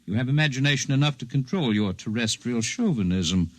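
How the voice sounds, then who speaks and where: An actor playing a calm, erudite alien healer in a 1950s radio drama, speaking in formal, precise, gently condescending phrases. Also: male voice, medium pitch, a little fast, natural voice. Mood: courteous, scholarly. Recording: 1950s radio broadcast recording.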